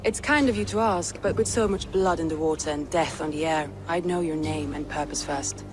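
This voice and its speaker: An actress voicing a proud, resolute Norse warlord in a video game cutscene, speaking in a measured, guarded way. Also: female voice, low-pitched, noisy background, natural voice. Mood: determined. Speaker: Norse lord of a city.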